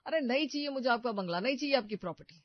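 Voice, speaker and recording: Man voicing a high-pitched, assured young cartoon schemer. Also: lively intonation, rapid-fire, dry recording. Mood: defiant. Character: protective, scheming young working-class brother posing as rich suitor. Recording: muffled dry studio recording.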